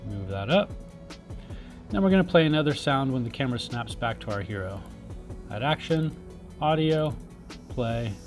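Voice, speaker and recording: A man presents a software tutorial in an informal way, explaining each step while he works through it. His voice sits at a slightly high pitch for a man, and there is faint music behind him. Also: expressive, moderate pace, pauses often. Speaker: tutorial instructor.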